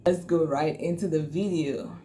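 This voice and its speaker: A woman's voice: sits low, moderate pace, dark tone, a little hiss or hum. A Nigerian woman talking casually to her viewers, chatty and laughing often, with many fillers. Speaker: vlogger. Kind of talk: casual talk to camera.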